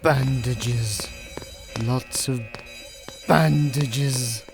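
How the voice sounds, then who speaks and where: A man voicing an eccentric dapper doctor in a comic radio play, speaking slowly in an odd, garbled dialect. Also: mid-range voice, faint music behind. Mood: earnest nonsense. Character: eccentric dapper doctor sidekick uttering nonsense.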